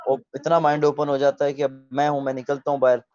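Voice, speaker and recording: A man in his thirties in a casual video chat, relaxed and opinionated, slipping English phrases into his talk. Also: medium pitch, fast talker, dry recording. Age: 30s. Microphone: video call mic. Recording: dull lo-fi video call audio.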